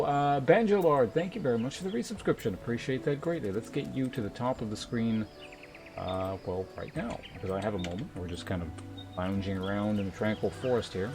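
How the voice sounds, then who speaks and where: A man live streaming a game, chatting casually with viewers in a rambling, polite way as he thinks aloud. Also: on the low side. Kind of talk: casual chat while streaming a game, reading viewer messages. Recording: music behind the voice.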